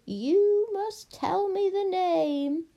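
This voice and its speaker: A woman voicing a cruel, miserly old storybook witch who draws out her words with cold, deliberate disdain. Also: very high voice, dull audio, pitched above the performer's own voice, full character voice. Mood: menacing.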